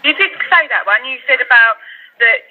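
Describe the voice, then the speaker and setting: A man with a distinctly high voice works through a phone conversation as a social worker. He explains things procedurally, with halting phrasing. The line is dull and lo-fi. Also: animated, a little hiss or hum.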